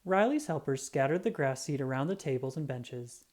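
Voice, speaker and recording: A woman reading a children's book aloud in an animated, expressive storytelling style. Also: extremely low pitch. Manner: expressive, drawn-out emphasis on sound words.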